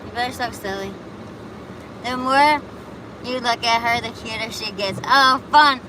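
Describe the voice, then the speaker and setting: A woman's voice: fairly high pitch, measured pace. Chatty woman streaming amid traffic. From Buffalo, New York. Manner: chatty, rambling, reacts to chat comments. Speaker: livestream host. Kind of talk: casual live chat answering viewers.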